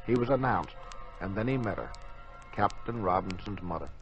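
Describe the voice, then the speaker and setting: A man's voice: on the low side, lively intonation, normal speed. A man hosting and narrating a dramatic 1950s radio program of strange tales. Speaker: narrator and host. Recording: dull 1950s radio recording with music.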